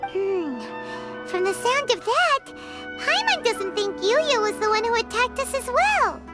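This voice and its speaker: A tiny floating fairy companion, chirps in a very high, squeaky voice that leaps up and down. She refers to herself in the third person. Game music plays behind. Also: big pitch swings, a little slow, exaggerated voice. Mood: curious. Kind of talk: game voice acting.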